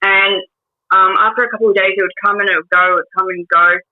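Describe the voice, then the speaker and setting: A woman in her twenties with an Australian accent speaks as an interview guest, her pitch sitting in an ordinary middle range. She talks in a halting way, full of pauses and restarts. Her voice comes through a narrow, tinny line that sounds like a phone call. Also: steady tone. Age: 20s. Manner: hesitant, many ums and restarts. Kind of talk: casual interview storytelling.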